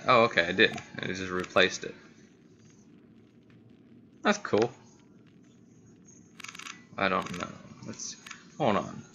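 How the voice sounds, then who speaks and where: A man's voice: deep voice, slow, long pauses, pushed and bright, lo-fi sound. A man giving casual, rambling gameplay commentary, muttering reactions to himself as he plays. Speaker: gaming video host. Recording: clearly noisy home recording.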